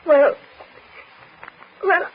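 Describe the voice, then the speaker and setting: An actress playing a frightened, distraught young daughter, halting and emotional, in a 1940s radio thriller. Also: female voice. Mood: shaken, confessing. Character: distraught, frightened grown daughter confessing to her father. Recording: muffled, noisy 1940s radio broadcast.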